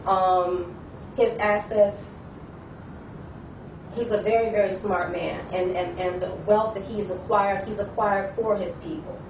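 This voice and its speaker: A woman's voice: medium pitch, fairly even intonation, unhurried. A woman giving an earnest account in a debate, listing details and repeating herself. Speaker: discussion participant. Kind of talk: testimony in a debate. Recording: echoey room, far from the mic, with clear noise.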